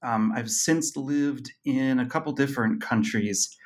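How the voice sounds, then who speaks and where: A man's voice: medium pitch, unhurried. A man being interviewed about his book, talking casually, rambling with frequent ums, restarts and little laughs at himself. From Costa Rica. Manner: rambling, self-amused, many ums and restarts. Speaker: author guest.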